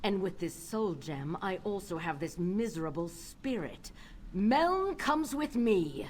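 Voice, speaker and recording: A woman playing a menacing, possessive villainous wizard in a video game, gloating and deliberate. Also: mid-range voice, very animated, slight background noise. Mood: gloating menace. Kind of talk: video game character acting.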